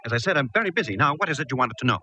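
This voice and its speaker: An actor playing a snooty, impatient store floorwalker, curt and haughty, in a 1940s radio drama. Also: male voice, medium pitch, animated, rapid-fire, pushed and bright. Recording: faintly hissy old radio recording.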